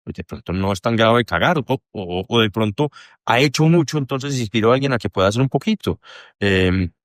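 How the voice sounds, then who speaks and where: A low-pitched young man with a Colombian accent, speaking as a podcast guest. He rambles and circles back, mocking himself and swearing freely. The sound is dry and close, with no room around it. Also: expressive, fairly quick, dry recording. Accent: Colombian Spanish. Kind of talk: candid casual conversation.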